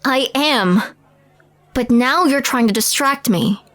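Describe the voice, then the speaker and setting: Woman playing a slow-talking, skeptical alien roommate. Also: high voice, a bit bright, no echo. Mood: wary, reasoning. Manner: incredulous, questioning, measured. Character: skeptical, easily shocked female alien roommate and friend. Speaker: voice actor in audio roleplay. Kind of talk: scripted audio drama acting.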